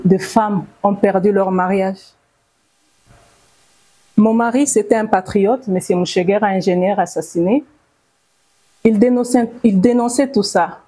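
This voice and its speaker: A middle-aged woman with a Congolese accent giving grave, emphatic testimony to a gathering, with halting phrasing. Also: medium pitch, dark tone. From Bukavu, South Kivu, DR Congo. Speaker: testifying survivor and audience speaker.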